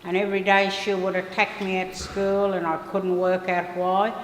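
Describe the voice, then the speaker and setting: Older Australian woman, flat echoing voice. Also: mid-range voice, moderate pace, a little hiss or hum. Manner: steady, earnest storytelling. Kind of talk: documentary interview. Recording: echoey hall with soft music.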